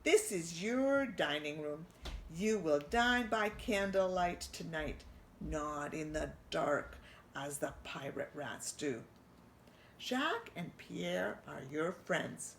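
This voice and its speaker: A woman's voice: sits low, expressive, slow pace, a little hiss or hum, full character voice. A woman playing a jolly old French chef. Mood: delighted. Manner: mock French accent, broken English. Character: jolly, warm-hearted older male French chef.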